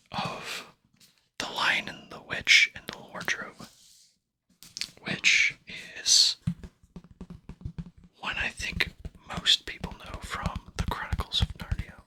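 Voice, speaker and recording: A man whispering in a breathy, hushed and soothing way, as an ASMR host reading a book aloud. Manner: slow, soothing, hushed delivery.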